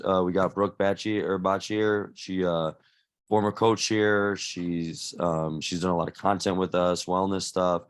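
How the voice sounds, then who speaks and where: A man, a fitness coach, casually introducing a live workshop on a video call, rambling with many restarts and fillers. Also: low voice.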